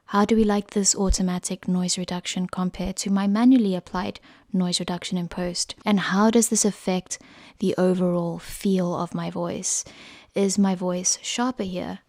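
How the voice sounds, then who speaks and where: A woman talks through a mic review at her desk, chatty and self-correcting as she goes, still getting over an illness. Her pitch sits mid-range but barely moves, and she stays close to the condenser mic in a small home room. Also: dark tone. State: recovering from illness. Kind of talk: casual product review. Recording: mic tests with varying reverb and noise.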